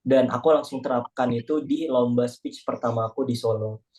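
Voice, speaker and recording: A man's voice: medium pitch, steady tone, moderate pace, darkish tone. A young man with an Indonesian accent chatting in a casual online class discussion, joking and self-deprecating. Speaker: class participant. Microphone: video call.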